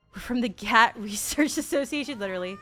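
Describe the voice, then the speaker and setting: Sweary playful gamer lady, 30s, highish voice, streaming with some music behind. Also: pushed and bright. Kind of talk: casual gaming stream commentary.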